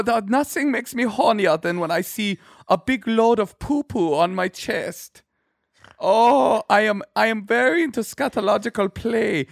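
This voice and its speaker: A man voicing a leering, cheerful German man in an exaggerated accent, pitched up well above his own voice. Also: creaky, no echo, studio quality. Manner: exaggerated German accent, high and sing-song.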